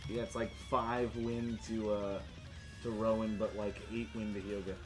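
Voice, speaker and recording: A man's voice: lowish voice, little pitch movement, moderate pace. A man running a tabletop role-playing game as game master, talking and narrating in an informal, sweary way. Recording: music playing behind the voice.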